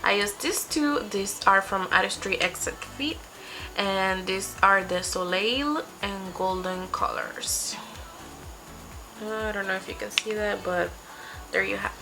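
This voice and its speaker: A woman's voice: mid-range voice, slow pace, gravelly, bright, forceful tone, soft background music, low-quality recording. A young woman whose first language is Spanish, chatting her way through a makeup tutorial in a small room at her desk. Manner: chatty, talks through each step while applying makeup. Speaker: beauty vlogger. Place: small home room with patterned wall. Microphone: webcam framing, seated at desk.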